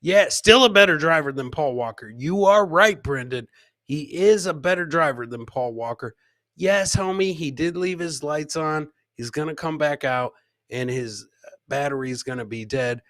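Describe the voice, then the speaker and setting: A man's voice: fairly high pitch, moderate pace, a bit bright, no echo. A middle-aged man hosting a casual livestream, bantering with the chat, repeating his phrases and swearing casually, close on the mic. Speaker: podcast host and comedian. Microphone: close boom-arm microphone with headset.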